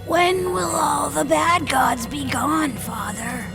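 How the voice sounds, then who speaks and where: A woman's voice: high voice, steady tone, takes it slowly, vocal fry, pitched above the performer's own voice, heavily acted. A woman voicing a timid young son of a villain in a motion comic, with a small voice. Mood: plaintive. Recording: loud music behind the voice.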